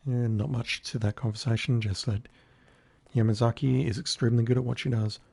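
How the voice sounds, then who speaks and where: A man's voice: mid-range voice, fairly even intonation, fairly quick, creaky, a little mellow, husky. A man with a scratchy voice giving casual, wry live commentary on a game he is playing. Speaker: game streamer.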